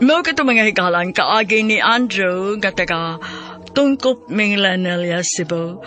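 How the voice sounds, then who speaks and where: A woman with a Cebuano Filipino accent narrates as a radio drama announcer. She speaks slowly and formally from a script, in a medium pitch. Faint music sits underneath. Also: animated.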